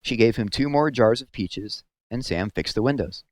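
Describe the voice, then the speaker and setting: A man narrating a story aloud as a scripted read-aloud, with measured, expressive phrasing. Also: mid-range voice.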